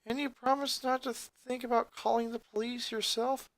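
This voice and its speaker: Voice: mid-range voice, level tone, normal speed, no room sound, voice pushed up, close to an everyday voice. A performer voicing an earnest, hurt young boy in a fan fiction story reading. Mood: uneasy.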